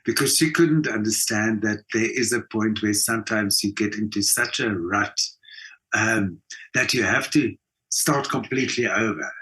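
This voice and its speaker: A man's voice: on the low side, moderate pace, bright, forceful tone, breathy edge, low-quality recording. An older South African man speaking as an interview guest, telling stories in a casual, reflective way and often acting out quotes with emphasis. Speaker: interview guest, writer and performer.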